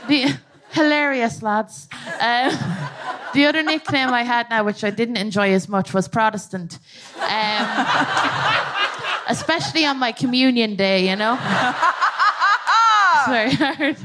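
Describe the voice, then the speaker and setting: A woman's voice: on the high side, lively intonation, a little slow, heavy background noise. A woman around thirty with an Irish accent, co-hosting a live comedy podcast in a chatty, self-mocking way, often laughing as she talks. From born in England, raised in Ireland. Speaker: co-host, comedian. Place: live theatre stage before an audience.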